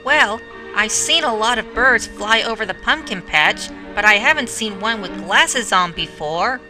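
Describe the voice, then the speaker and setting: A woman's voice: fairly high pitch, animated, measured pace, music in the background, poor audio. A woman voicing a small, shy, innocent young talking pumpkin, earnest and hesitant, in a children's show. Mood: pleased, polite.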